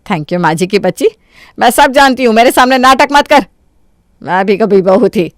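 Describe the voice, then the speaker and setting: A woman acts out a harsh, quick-tempered mother-in-law for a cartoon. She berates and exclaims in a high voice that swings widely in pitch. The sound is close and dry. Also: animated, dry recording, lightly acted. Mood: grudging. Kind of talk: Hindi cartoon story voice acting.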